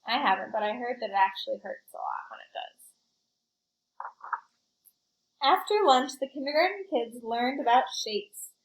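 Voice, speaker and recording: A woman reading a storybook aloud in an expressive, child-directed way, with chatty asides to her young listeners. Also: a little high, brisk, reverberant, low-quality recording. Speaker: school librarian reading aloud.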